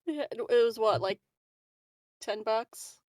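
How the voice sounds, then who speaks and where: A woman's voice: fairly high pitch, takes it slowly, darkish tone, no room sound, clean studio sound. A woman chatting casually as a player in a tabletop game.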